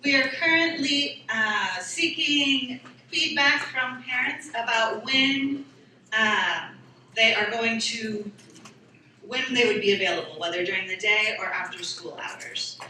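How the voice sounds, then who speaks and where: Bright, higher-voiced woman presenter, conversational, heard far off in an echoey hall. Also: slow, slight background noise. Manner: conversational, with fillers. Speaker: school district presenter. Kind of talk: public presentation.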